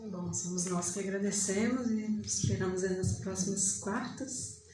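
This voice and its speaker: A woman's voice: medium pitch, fairly even intonation, normal speed, noisy background. A woman moderating a public debate, courteous and brief as she hands the floor on. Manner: courteous, brief turn-giving. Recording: echoey room with faint music.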